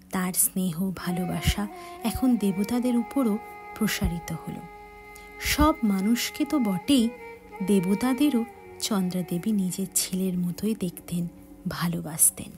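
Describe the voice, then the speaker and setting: A woman tells a children's devotional story at an unhurried, slow pace, with a gentle, measured delivery. Her voice sits at a middling pitch and now and then turns soft and breathy. Faint music plays quietly underneath her. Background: faint background music.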